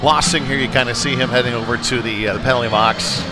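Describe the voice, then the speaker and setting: A man's voice: medium pitch, steady tone, fast talker, over loud music, low-quality recording. A man doing casual, conversational live play-by-play commentary of a youth hockey game from a rink, on a headset. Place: chilly ice rink.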